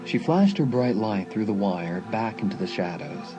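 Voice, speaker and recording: A man's voice: fairly low pitch, animated, normal speed, highs cut off. A man reading suspenseful scripted narration for a dramatised audiobook. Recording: faint music behind the narration.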